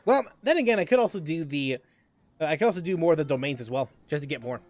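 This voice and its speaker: High-voiced man chatting on a gaming stream. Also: expressive, darkish tone, dry recording, soft background music. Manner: halting, repeats and restarts phrases. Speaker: livestream host, gamer. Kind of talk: casual livestream chat while gaming.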